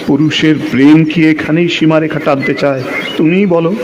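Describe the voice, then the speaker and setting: A man acting a lovesick, quick-tempered man who tells his own story in a theatrical, emotional radio-play style. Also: fairly high pitch, steady tone, muffled recording, noisy background, close to an everyday voice. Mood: anguished, pleading. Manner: theatrical, emotional, with repeated pleading phrases. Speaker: audio drama actor and narrator. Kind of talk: dramatic first-person narration of a Bengali audio play.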